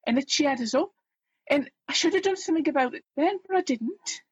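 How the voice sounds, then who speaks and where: A woman's voice: sits high, lively intonation, fairly quick, no echo, muffled recording. A woman in her fifties with a Geordie accent, telling her own story in a candid, plain-spoken, self-deprecating way as an interview guest.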